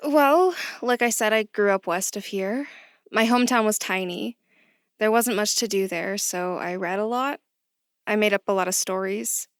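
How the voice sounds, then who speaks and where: A woman's voice: mid-range voice, moderate pace, clear, resonant tone, dry recording, clean studio sound, lightly acted. A woman playing a bookish, good-humored new employee in an audio drama, talking in a mild, playful, conversational way. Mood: chatty, reflective. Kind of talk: naturalistic audio drama acting.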